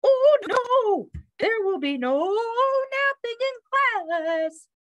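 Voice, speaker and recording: Little female bird teacher: very high, hugely animated, theatrical, sing-song, gushing storybook delivery. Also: no echo, dull audio, full character voice. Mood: bossy, cheerful. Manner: exclamatory, sing-song, drawn-out words. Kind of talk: children's storybook character voice.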